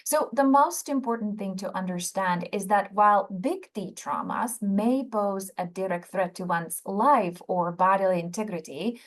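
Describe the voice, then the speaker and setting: Middle-aged woman, Czech accent, psychologist presenting a webinar, measured and explanatory, mid-range pitch. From Czech Republic. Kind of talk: prepared webinar lecture.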